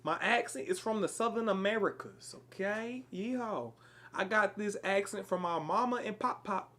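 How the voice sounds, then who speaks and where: A man's voice: high-pitched, moderate pace, bright, forceful tone. A man live-streaming a game in casual chat, playful and teasing, greeting viewers by name.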